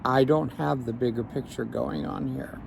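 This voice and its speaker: A man, a life coach, speaking slowly and reflectively in an evening vlog recorded outdoors on a phone. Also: medium pitch, steady tone, gravelly, a little mellow, slight background noise. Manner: slow, measured, reflective.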